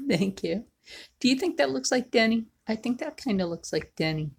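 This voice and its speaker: A woman in her forties chatting casually to viewers while she draws, often sinking into a low whisper. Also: animated, gravelly, breathy edge. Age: 40s. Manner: slow, frequently drops into a whisper. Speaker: video creator. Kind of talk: casual livestream chat while drawing.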